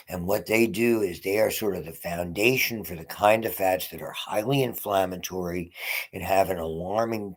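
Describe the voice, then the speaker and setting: A man giving a physiology lecture from home, congested and often clearing his throat, with halting, restarting phrasing. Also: sits low. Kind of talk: unscripted lecture.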